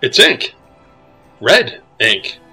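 Male actor, sensible exasperated coworker, creaky, bright forceful tone, audio comedy. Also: medium pitch, wildly swooping pitch, extremely slow, soft background music, full character voice. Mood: casual. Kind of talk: comedy audio drama acting.

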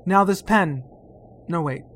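Man playing a high-voiced, fussy gadget inventor. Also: takes it slowly, a bit bright, soft background music. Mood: earnest briefing. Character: fussy, protective gadget inventor in a spy spoof.

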